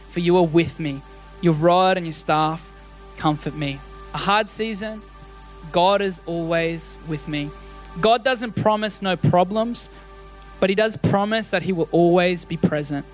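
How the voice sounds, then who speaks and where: A man's voice: high voice, normal speed, faint music behind. A man preaching a sermon as a pastor, earnest and encouraging, often repeating his first words as he starts a sentence.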